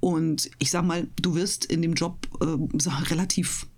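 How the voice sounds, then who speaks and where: A woman who works as a speaker, chatting casually and enthusiastically with trained, clear articulation. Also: lowish voice, steady tone, normal speed, darkish tone. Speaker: host of an audio channel and interview guest, professional speaker. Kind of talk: casual interview chat.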